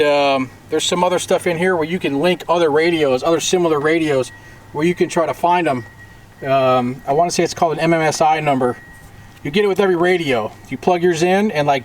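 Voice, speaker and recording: American male DIY host, slightly high voice, informal how-to delivery, faint outdoor noise. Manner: plain-spoken, informal. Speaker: DIY boat maintenance video host. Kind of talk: casual hands-on how-to narration. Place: outdoors, on a boat parked by a driveway.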